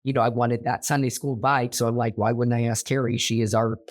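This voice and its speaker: A man's voice: mid-range voice, level tone, fairly quick. Male co-host, theater director type, rambling casual chat with lots of ums and restarts, getting over a cold. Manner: rambling, many fillers and restarts. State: recovering from illness.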